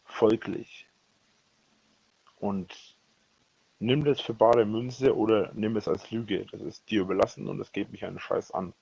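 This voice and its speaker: A man speaking a rambling personal voicemail, halting and often correcting himself, with a husky voice. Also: fairly low pitch, steady tone, a little mellow. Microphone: voice message recorded on a phone. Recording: dull lo-fi leaked voicemail recording.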